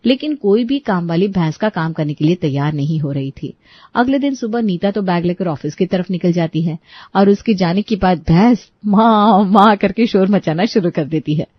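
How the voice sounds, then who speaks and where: A woman narrating a scripted family story in an expressive storytelling style. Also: on the low side, moderate pace, dull audio.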